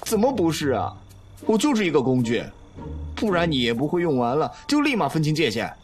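Animated, teasing young male student played by a man. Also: fairly high pitch, a little fast, soft background music. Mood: wounded. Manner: coaxing, teasing. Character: sly, teasing male college student, playfully wounded suitor. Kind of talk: Chinese audio drama acting.

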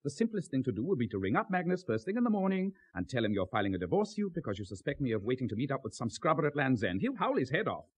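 An actor playing an educated, exasperated British husband on the defensive in a radio drama. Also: male voice, mid-range voice, very animated, fast talker, hardly any pauses, slightly rough.

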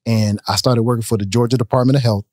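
A man in his thirties, a business owner telling stories in a casual podcast interview, relaxed and talking with his hands. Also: mid-range voice, fast talker, no room sound. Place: podcast studio, indoors. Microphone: studio mic on a boom arm. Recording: dry close studio sound.

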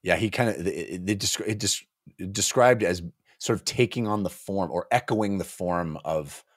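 Low-voiced man, thoughtful. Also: normal speed, no echo, studio quality. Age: middle-aged. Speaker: podcast co-host. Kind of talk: casual book discussion. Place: small home room, indoors. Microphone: close desk microphone on boom arm.

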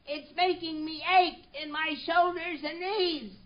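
Meek little turtle, extremely high voice, slow plaintive groaning, polite, downtrodden, storybook character, muffled lo-fi audio. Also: female voice, steady tone. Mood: plaintive, pleading. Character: small, humble, suffering plain male turtle, politely pleading. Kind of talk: theatrical children's story acting.

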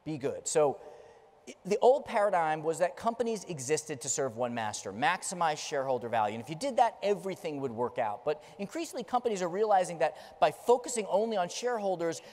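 A middle-aged man speaks from a large conference stage, his somewhat high voice driving emphatic, story-led points. His words trail off into the long reverberation of a big hall. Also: lively intonation, fairly quick, a little mellow. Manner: emphatic, storytelling delivery, gesturing while pacing the stage. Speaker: keynote speaker. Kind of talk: conference keynote speech.

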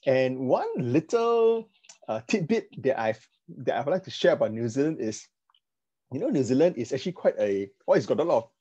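A middle-aged man with a Singaporean accent, informally sharing personal stories in a halting, conversational slide presentation. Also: mid-range voice, sing-song, mellow, slightly rough, lo-fi sound. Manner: conversational, halting, with "right" tags. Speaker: speaker sharing financial advice with a youth group.

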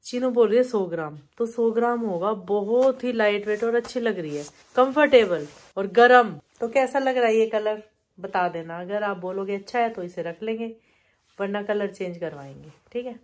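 A woman's voice: medium pitch, lively intonation, moderate pace. A middle-aged woman chatting casually as a vlogger, recorded on a phone at arm's length. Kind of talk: casual vlog chat to camera and family. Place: small home rooms and inside a car.

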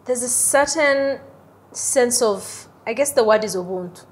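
A young woman from Uganda, talking casually as an interview guest in an animated storytelling way, often checking in with "right?". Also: a little high, a little slow. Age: young adult. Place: airy lounge on a sofa, indoors.

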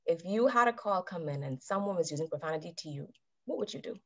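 A woman in her thirties giving a calm, measured talk to a club over a video call and putting questions to her listeners. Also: fairly low pitch. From Bahamas. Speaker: club speaker. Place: indoors with a virtual background. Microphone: webcam on a video call. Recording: dull video-call audio.

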